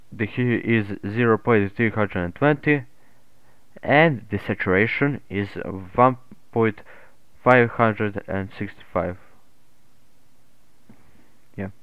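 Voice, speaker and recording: A man narrating an unscripted software tutorial in a halting, hesitant way. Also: lowish voice, smooth, no room sound. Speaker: tutorial host. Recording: muffled phone-like narrow-band audio.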